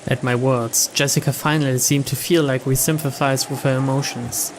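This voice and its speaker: A man's voice: mid-range voice, steady tone, moderate pace. A man giving a dramatic scripted narration of a visual novel as a let's play reader. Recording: clear background ambience noise.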